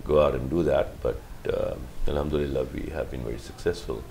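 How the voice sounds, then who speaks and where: A middle-aged man, a physician answering interview questions in a measured, halting way with many fillers and restarts. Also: extremely low pitch, fairly even intonation, vocal fry, warm tone, slight background noise. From Pakistan. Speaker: guest, physician.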